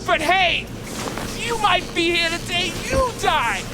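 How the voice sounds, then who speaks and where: An actor playing a crude, violent street gang thug in a video game, swearing and taunting. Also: male voice, extremely high pitch, animated, slow, over music, full character voice. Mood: mocking, irritated. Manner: swearing, mocking, aggressive.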